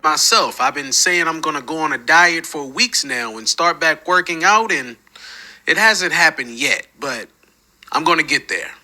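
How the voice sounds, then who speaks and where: A man in his late thirties making a gaming video, speaking to viewers in a relaxed, conversational way. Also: on the high side, normal speed. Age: 30s.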